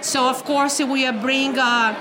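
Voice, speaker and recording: A woman's voice: high voice, fairly even intonation, a little slow, over music, rough recording. A woman with a Brazilian accent, a native Portuguese speaker, explaining community programs conversationally as an interview guest. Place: video call.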